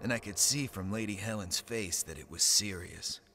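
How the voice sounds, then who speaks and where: A man's voice: fairly low pitch, moderate pace, pushed and bright, a little hiss or hum. A man playing a hot-headed, sardonic commander and former pirate in a video game, with a gritty, terse delivery. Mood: brooding. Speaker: game protagonist and narrator. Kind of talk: dramatic game cutscene acting with brooding narration.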